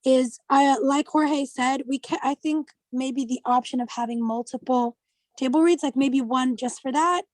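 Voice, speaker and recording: Woman running a study group chat, high voice, kinda tentative, dry close sound. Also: fairly even intonation, normal speed, no echo. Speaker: study group discussion leader. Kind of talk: casual group discussion.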